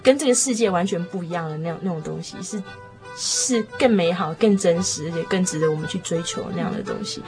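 A woman's voice: fairly low pitch, quick pace, music in the background. A young woman with a Taiwanese Mandarin accent in casual reflective discussion, tentatively searching for words. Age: young adult. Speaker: guest on a discussion show.

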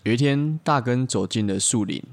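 A man's voice: mid-range voice, moderate pace, vocal fry. A man co-hosting a podcast, improvising a playful story and bantering with friends.

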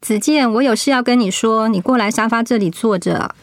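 An actress playing a steady friend and wife who survived cancer, speaking earnestly in an audio drama. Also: female voice, fairly high pitch, a little fast, faint music behind, lightly acted. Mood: advising, reflective.

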